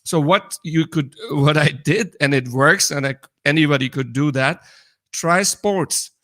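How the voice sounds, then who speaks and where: A man with a Pakistani accent talking casually as a podcast co-host, explaining things earnestly in halting non-native English. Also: fairly high pitch, fairly bright tone. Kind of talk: casual personal conversation.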